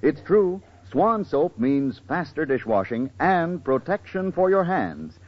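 A man's voice: fairly high pitch, very animated, slow pace, slightly rough. An American man delivering a persuasive, emphatic 1940s radio commercial as announcer. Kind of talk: 1940s radio advertising pitch. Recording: dull vintage radio broadcast.

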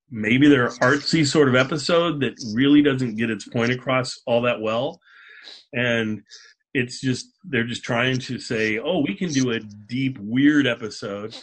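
A man chats as the host of a podcast. His voice sits in a middle register with a rough, husky edge. He keeps things loose, informal and jokey. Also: medium pitch, a bit bright. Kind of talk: casual podcast chat.

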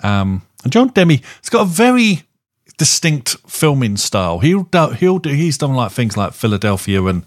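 A man casually reviewing films as a podcast co-host, rambling and halting as he circles back over his phrases. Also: mid-range voice, sing-song. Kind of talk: casual film review chat.

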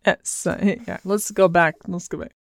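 A woman speaking as a mother in casual chat, calm and measured, teasing gently and laughing softly. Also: fairly low pitch, creaky, no echo, studio quality. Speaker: mother, co-host.

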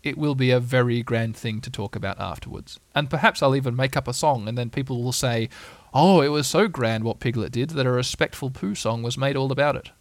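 A man voicing a gentle, simple-minded bear who speaks softly and comfortingly. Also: medium pitch, no echo, lightly acted. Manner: soft, slow and comforting.

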